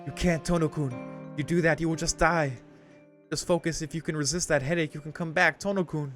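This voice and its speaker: A man acts out an earnest young woman from a visual novel, protective and anxious. The voice is lifted well up in pitch and urgent, with music behind it. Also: fairly even intonation, a little fast, a bit bright, natural voice. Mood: pleading, distressed. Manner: pleading, urgent.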